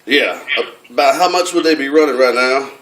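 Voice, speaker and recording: A man's voice: medium pitch, normal speed, a bit bright, close to an everyday voice. A man putting on a folksy, rambling redneck with a hesitant drawl for a prank phone call. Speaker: prank caller. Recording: echoey room from far off, noisy.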